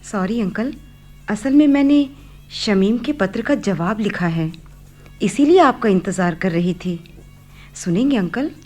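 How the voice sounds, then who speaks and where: An actress playing a sincere, hopeful young daughter in a radio play, gentle and halting. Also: female voice, mid-range voice, lively intonation, faint music behind. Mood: sweet, eager. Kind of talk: Hindi radio play acting.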